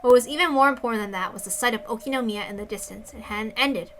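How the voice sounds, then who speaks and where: A woman's voice: fairly high pitch, fast talker, fairly bright tone, slight background noise. A woman reading a visual novel aloud, mixing dramatic narration with casual asides.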